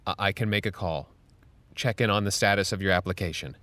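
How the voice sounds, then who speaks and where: An actor playing an earnest, gently pushy adult, speaking in a measured, reassuring, slightly hesitant way in an audio drama. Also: male voice, lowish voice, no echo. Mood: reassuring, insistent. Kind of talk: naturalistic audio drama dialogue.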